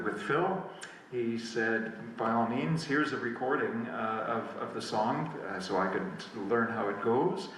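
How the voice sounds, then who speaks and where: A man's voice: mid-range voice, fairly bright tone, slight background noise. A man giving a casual spoken introduction as a musician about to perform a song. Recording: very echoey room, distant mic, music.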